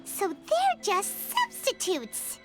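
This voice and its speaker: A woman voicing a small, excitable, childlike fairy companion in a video game, squeaky and given to exclaiming. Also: slow, over music, exaggerated voice. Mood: exasperated, realizing. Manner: exclamatory, refers to self in third person.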